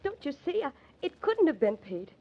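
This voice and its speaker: Distraught young heroine, 1940s-style radio drama; high, swooping, pleading, lo-fi recording. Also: female voice, very animated, moderate pace. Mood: insistent. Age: young adult. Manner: pleading, breathlessly emotional. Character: distraught young woman, loyal to her boyfriend. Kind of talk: old-time radio drama acting. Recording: dull, lo-fi old radio recording.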